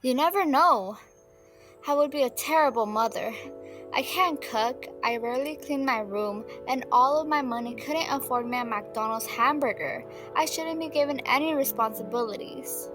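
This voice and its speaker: A woman's voice: sits high, moderate pace, music in the background, close to an everyday voice. A young woman playing a cautious, anxious seventeen-year-old girl in a student audio drama, hesitant and defensive. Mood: anxious, self-doubting.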